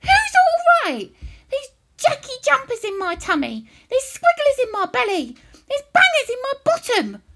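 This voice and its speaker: A woman voicing a cantankerous old grandmother from a children's storybook, yelling in a shrill, screechy, outraged voice. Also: wildly swooping pitch, a little slow, full character voice. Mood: indignant. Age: older. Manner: exclamatory, yelling. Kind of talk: animated children's storybook character voice.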